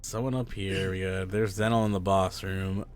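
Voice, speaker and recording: A man hosting a podcast, chatting casually while gaming, rambling and self-mocking, with a hoarse, stuffy voice from losing it. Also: low voice, flat delivery, moderate pace, slight background noise. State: losing his voice, stuffy sinuses. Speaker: podcast host.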